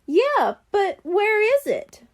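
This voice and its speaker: Woman acting young boy, practical, skeptical, teasing, high animated questioning voice, children's storybook. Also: slow, full character voice.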